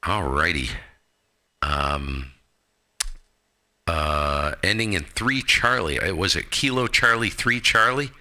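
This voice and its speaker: A man's voice: deep voice, expressive, slow pace, pauses often, sharp tone. An American man hosting a ham radio live stream, chatting casually with breezy, joking slang. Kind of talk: casual amateur radio chat.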